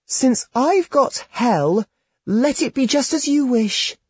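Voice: high voice, animated, slow pace, husky, no echo, voice pushed up, heavily acted. Alibek, a reader doing a wide-eyed innocent girl. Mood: earnest. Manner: wide-eyed, earnest exclamations. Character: naive innocent young girl, eager and trusting.

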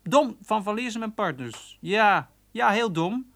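A man's voice: high-pitched, expressive, measured pace, fairly bright tone, heavily acted. An actor playing a chatty, cheeky young male switchboard operator in a radio drama, breezy and familiar with a chuckling manner. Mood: breezy, businesslike. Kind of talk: naturalistic Dutch radio drama acting.

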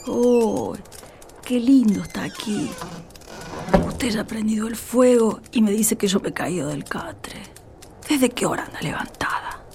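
Troubled village mother, earnest and plaintive, gravelly edge, northwestern Argentine accent, radio play, faint noise. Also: fairly high pitch, wildly swooping pitch, unhurried, heavily acted. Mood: friendly. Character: troubled rural mother, humble and earnest goddaughter. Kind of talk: radio drama acting.

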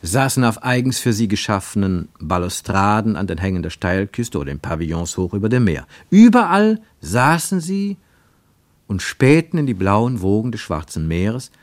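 Male narrator for a radio travelogue play. Fairly low voice, dry close studio sound, measured and expressive. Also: no echo. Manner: expressive, measured storytelling delivery.

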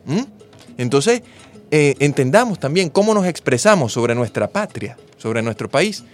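A man's voice: a little high, big pitch swings, unhurried. A Venezuelan man with a Venezuelan accent, hosting a talk radio show in an earnest, patriotic, speechlike way. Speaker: radio host. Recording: music bed behind the voice.

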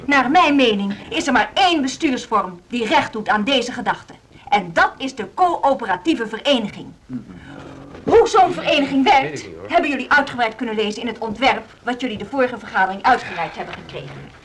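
A woman's voice: fairly high pitch, expressive, a little fast, fairly bright tone, slight background noise. An actress playing a principled, stubborn female teacher in a radio drama, addressing others in an earnest, formal, insistent way. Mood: passionate, insistent. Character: principled, stubborn female music teacher arguing her minority case. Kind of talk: radio drama acting, speech to a meeting.

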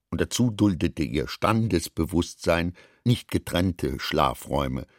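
Expressive low-voiced male literary narrator. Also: no room sound, studio quality. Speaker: narrator of a literary radio play.

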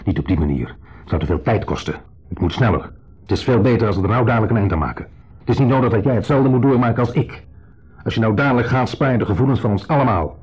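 An actor playing a shabby, self-pitying ex-husband who talks with deliberate, quiet menace in a radio drama. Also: male voice, fairly low pitch, animated, husky. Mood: coolly pressing. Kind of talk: 1970s radio drama acting. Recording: dull 1970s radio play recording.

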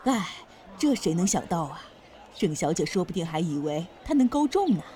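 A woman's voice: on the low side, big pitch swings, moderate pace, mellow. A woman playing a nosy townswoman in a period audio drama, gossiping and marvelling in a folksy way. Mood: curious, gossipy.

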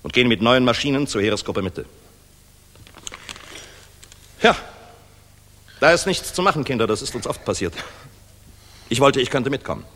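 An actor playing a dashing, hard-drinking, sardonic general in a 1960s German radio drama. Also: male voice, mid-range voice, big pitch swings, fairly quick, long pauses, slight background noise. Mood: sober, resigned. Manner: boisterous, jovial, sharp-tongued. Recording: reverberant mono 1960s radio recording, music behind.

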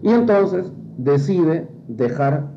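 A man, a priest and scholar, explaining in an interview in a halting, didactic way. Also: a little high, lively intonation, gravelly. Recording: dull lo-fi interview, faint noise.

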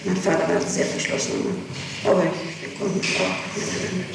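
A middle-aged woman gives testimony in court with halting, clipped answers. She sounds far from the microphone, with very heavy room echo and clear tape noise. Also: lowish voice, big pitch swings. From Germany, Rhineland-Ruhr. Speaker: defendant being questioned in court. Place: large reverberant courtroom. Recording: very echoey, distant, noisy archival courtroom tape.

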